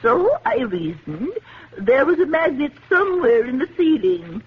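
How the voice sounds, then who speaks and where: A woman's voice: high-pitched, huge pitch swings, unhurried, full character voice. Elderly lady sleuth, muffled radio. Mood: composed. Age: older. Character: sensible elderly lady sleuth, retired physics teacher. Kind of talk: 1950s radio mystery acting. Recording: muffled, noisy vintage radio recording.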